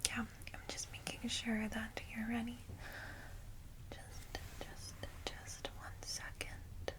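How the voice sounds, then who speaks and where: A woman whispers softly and gently in an ASMR roleplay as a kindly, reassuring vampire secretary guiding a newcomer. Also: a little high, completely flat delivery, slow pace. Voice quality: soft breathy whisper. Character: kindly vampire secretary welcoming a newly turned vampire. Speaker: ASMR roleplay creator playing a guide to new vampires. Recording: close whisper with clear background noise.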